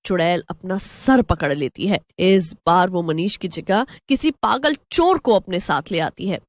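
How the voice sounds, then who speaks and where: A woman's voice: fairly low pitch, lively intonation, unhurried, no room sound. A woman narrating a scripted horror story as a storyteller.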